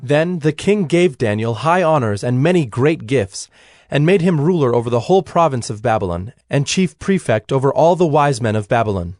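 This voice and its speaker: An actor serving as a solemn storyteller, narrating ancient scripture in a measured, formal way. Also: male voice, medium pitch, expressive, a little mellow, no room sound, clean studio sound, close to an everyday voice. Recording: music under the voice.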